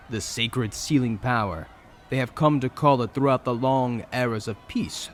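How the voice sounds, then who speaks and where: A man narrating a scripted fantasy audio drama in a dramatic storytelling style. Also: mid-range voice. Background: music.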